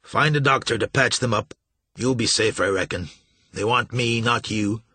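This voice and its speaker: A man voicing a gruff male riverboat captain who gives blunt orders in folksy talk. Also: mid-range voice, normal speed, bright, forceful tone, no room sound. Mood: commanding.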